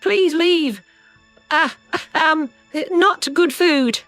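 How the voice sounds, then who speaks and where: Scared alien lady, super high pitched, stuttery n pleading, sci-fi audio drama, music behind. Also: drawn-out words, a bit bright, no echo, heavily acted. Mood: frightened pleading. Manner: stammering, hesitant. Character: terrified alien civilian woman, pleading and timid. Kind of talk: audio drama character acting.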